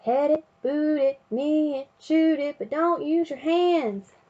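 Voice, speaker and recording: A woman's voice: sits high, slow pace, warm tone, lo-fi sound, pitched above the performer's own voice. A woman voicing an eager, scatterbrained young boy frog from a storybook, exclaiming and chanting in singsong. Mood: singsong glee.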